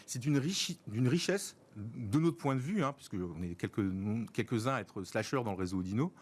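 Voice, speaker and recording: A man's voice: medium pitch, lively intonation, moderate pace, husky. Middle-aged Frenchman explaining in an interview. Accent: French from France. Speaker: interview guest, senior professional.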